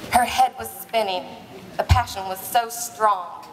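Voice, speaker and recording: A woman's voice: fairly high pitch, a little slow, noisy background. A woman narrating a romance parody as a live dramatic reading, with a theatrical, playful manner. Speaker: narrator and presenter. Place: live stage at a bar party. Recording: echoey live hall, distant mic.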